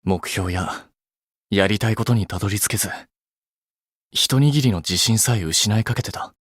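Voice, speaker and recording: A man's voice: on the low side, moderate pace, fairly bright tone, no room sound, studio quality. A man playing an earnest, blunt young male idol in a Japanese mobile game, with a restrained way of talking. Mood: solemn, tender.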